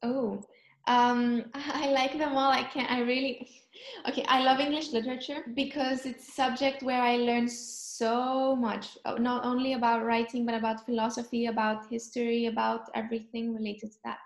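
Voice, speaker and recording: A young woman from Cyprus, chatting in an interview and often pausing to search for words. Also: a little high, level tone, echoey room. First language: Greek. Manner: thoughtful, searching for words, frequent ums. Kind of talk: casual chat.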